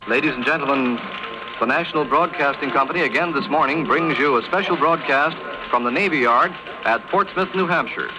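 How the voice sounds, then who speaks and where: An American man delivering a formal, clearly projected 1930s live radio news report. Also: a little high, fairly quick, noisy background. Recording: muffled 1930s radio recording, busy background.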